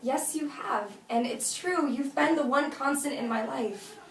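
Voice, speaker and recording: An actress playing an exasperated but fond woman gently turning down her best friend, in naturalistic, hesitant film dialogue. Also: sits high, measured pace. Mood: gentle, apologetic. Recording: roomy, distant mic with faint noise.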